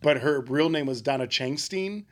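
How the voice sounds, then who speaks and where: A man's voice: medium pitch, expressive, moderate pace. A man co-hosting a casual podcast chat, laughing often as he tells stories. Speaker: podcast co-host.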